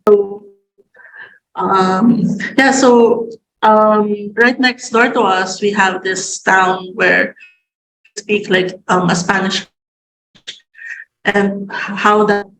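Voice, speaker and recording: A young Filipino woman, a native Tagalog speaker with a Filipino accent, talking in a halting way with many fillers and restarts over a video call. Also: medium pitch, fairly even intonation, a bit bright, echoey room, poor audio. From Philippines. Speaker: guest writer. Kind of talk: casual conversation. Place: room at home.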